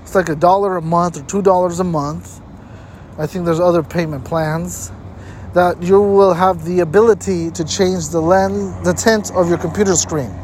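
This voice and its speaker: A man's voice: high voice, fairly even intonation, moderate pace, darkish tone, a little hiss or hum. A man hosting a motivational health-tips talk, speaking emphatically and repeating points for stress. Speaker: host giving health tips. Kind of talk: motivational advice talk to camera.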